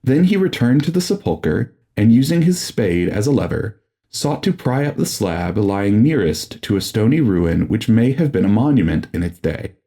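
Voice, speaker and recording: Low, mellow male horror audiobook narrator. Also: sing-song, normal speed. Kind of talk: scripted narration of a gothic horror story.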